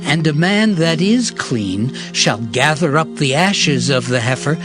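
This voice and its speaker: A man playing a solemn prophet reciting ritual law deliberately in a dramatized scripture reading. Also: a little high, animated, over music.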